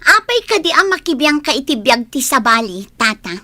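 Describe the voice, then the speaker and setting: A woman's voice: high voice, big pitch swings, moderate pace, sharp tone, no room sound, heavily acted. A woman playing a defiant, scheming young village woman with an Ilocano accent in a radio drama. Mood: defiant, annoyed. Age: young adult. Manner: pert, talking back.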